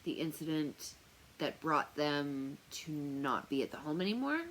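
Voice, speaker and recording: A woman talking casually to camera as a tarot reader, chatty and rambling with lots of fillers, with a loud, projecting voice. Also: sits low, expressive, measured pace, slight background noise, poor audio. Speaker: tarot reader and remote viewer. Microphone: noise-canceling earphones on.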